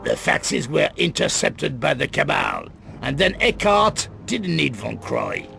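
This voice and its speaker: An actor playing a grim, guarded middle-aged crime boss in a video game cut-scene, with a gravelly voice and grave, deliberate delivery. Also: male voice, medium pitch, huge pitch swings, exaggerated voice. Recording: loud music under the voice.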